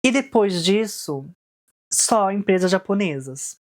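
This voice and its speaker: A woman's voice: medium pitch, lively intonation, slow. A woman in her twenties who grew up in Japan, casually and reflectively telling her life story in a vlog, with frequent fillers. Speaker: vlogger.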